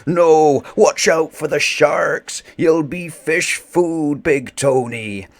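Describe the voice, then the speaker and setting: A man's voice: fairly high pitch, extremely slow, creaky, voice pushed up, heavily acted. Man putting on a hearty, blustery pirate captain. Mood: alarmed. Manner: blustery pirate talk with arrs and mateys. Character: hearty, plucky male pirate captain, rallying his crew.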